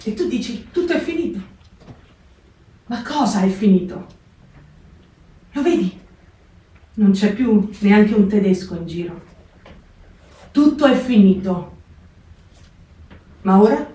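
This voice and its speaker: A restless young survivor, played by a woman presses question after question in a slow, mellow voice. She speaks from a distance in a very echoey room. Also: medium pitch, lively intonation. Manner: urgent, questioning. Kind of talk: performed theatre reading on radio.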